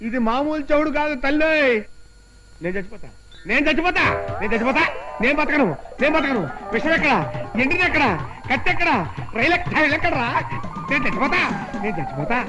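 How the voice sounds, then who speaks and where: An actor playing an exasperated priest who wails and laments melodramatically in a film comedy. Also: male voice, very high voice, a little fast, over music, rough recording. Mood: wailing.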